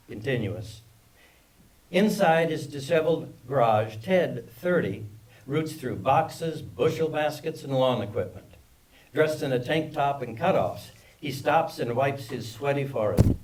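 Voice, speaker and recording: A man's voice: mid-range voice, animated, a little slow, darkish tone. A man reading scripted stage directions as narrator of a screenplay table read, recorded from a distance. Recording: distant microphone in live reading.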